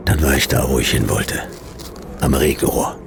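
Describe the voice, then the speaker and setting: Very deep, gravelly hard-boiled detective. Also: male voice, normal speed, noisy background. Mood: deadpan, strained. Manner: terse, clipped, deadpan, hard-boiled. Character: world-weary, sardonic male private detective narrating his case. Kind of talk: hard-boiled radio play narration and dialogue.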